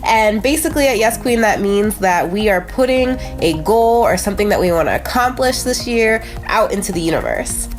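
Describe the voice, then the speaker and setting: A woman's voice: medium pitch, animated, moderate pace, hardly any pauses, soft background music. An American woman with a nasal, congested voice, hosting a casual motivational vlog in a chatty, conversational way with frequent asides. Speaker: host of a motivational video channel.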